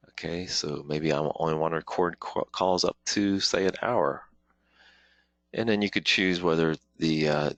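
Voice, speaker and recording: Very deep-voiced man presenting a software tutorial. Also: no echo. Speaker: webinar presenter, product trainer. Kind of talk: informal software tutorial, live demonstration walkthrough.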